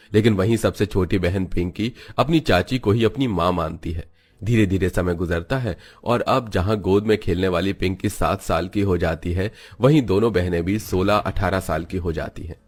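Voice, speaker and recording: A man narrates a scripted moral tale in a steady, even storytelling voice. His voice sits fairly low.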